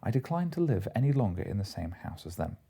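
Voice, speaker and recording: A man's voice: lowish voice, sing-song, fast talker, vocal fry, mellow, husky, full character voice. A man voicing an indignant, suspicious married woman in an audiobook, with a firm and proper manner. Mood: indignant, resolute. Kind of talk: audiobook character voice.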